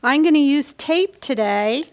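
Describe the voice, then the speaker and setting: A woman's voice: high voice, animated, measured pace. A woman hosting a children's storytime, talking in simple step-by-step language to young children as she explains things. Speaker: library storytime host. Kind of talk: children's storytime reading and craft instruction.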